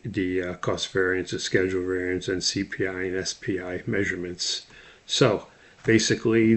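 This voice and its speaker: Low-pitched, monotone male instructor. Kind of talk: slide lecture, explanatory. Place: voice-over recorded over slides.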